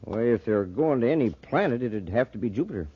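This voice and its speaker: A man's voice: medium pitch, animated, fairly quick, breathy edge, dry recording. A man playing an eager, unassuming amateur math whiz, with an American accent, in a 1950s radio serial. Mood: eager, modest. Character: eager, folksy male amateur with uncanny math knack. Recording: dull 1950s radio recording.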